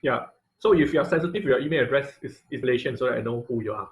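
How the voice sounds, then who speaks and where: A man teaching an informal online class and taking questions, speaking haltingly and often repeating phrases as he searches for words. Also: mid-range voice. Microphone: online video-call audio.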